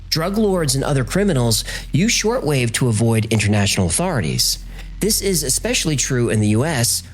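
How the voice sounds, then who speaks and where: A man narrating a scripted documentary in a conversational, explanatory way, posing rhetorical questions as host. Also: mid-range voice.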